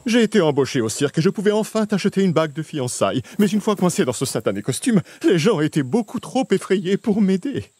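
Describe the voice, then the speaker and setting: An actor playing an earnest, flustered fiancé in a cartoon, with a husky voice. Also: male voice, high voice, big pitch swings, fast, hardly any pauses, a little mellow, heavily acted. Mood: earnest, relieved.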